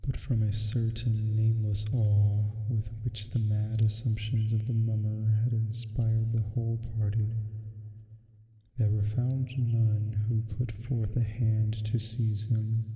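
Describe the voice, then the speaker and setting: A man voicing a sleep paralysis demon reading a horror story for ASMR in a slow, solemn, hushed, ominous way. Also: lowish voice. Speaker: ASMR roleplay performer. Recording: echoing long reverb, music underneath. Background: music.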